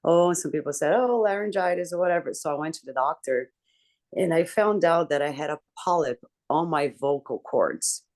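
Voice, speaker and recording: Deep-voiced woman telling her story. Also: moderate pace, a little mellow. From Brazil. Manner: earnest, candid, reflective storytelling. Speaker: book club member. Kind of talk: personal story in a group discussion.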